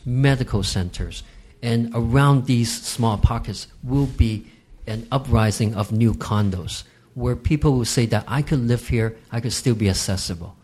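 A man from Hong Kong, a real estate guest on a podcast, explaining market trends in a measured, emphatic way. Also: mid-range voice, a little mellow. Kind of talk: podcast interview.

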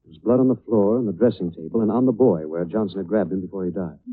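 An actor playing a composed, resolute, urbane gentleman detective in a 1940s radio style. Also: low-pitched, fast, slightly rough. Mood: calm, explanatory. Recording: tinny band-limited old radio broadcast.

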